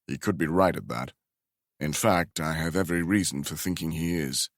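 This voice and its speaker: A man voicing a grave, weary racing team manager who speaks low and quietly. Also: moderate pace, dry recording, studio quality.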